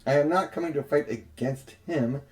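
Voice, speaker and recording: A man's voice: a little high, moderate pace, a little mellow, natural voice. An older man voicing a haughty cruel queen. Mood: scornful. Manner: imperious, cold and disdainful.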